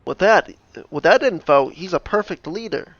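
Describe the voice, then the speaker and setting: Creaky-voiced man casually reacting to a game. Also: high-pitched, lively intonation, moderate pace, fairly bright tone. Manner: offhand, brief interjections. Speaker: gamer reacting to the story. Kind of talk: casual playthrough commentary. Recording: dull lo-fi mic, game music behind.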